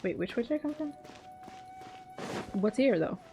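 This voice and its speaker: A woman's voice: on the high side, expressive, moderate pace. A young woman giving casual, chatty, slangy reaction commentary as she plays a video game. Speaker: gaming streamer. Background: game theme music.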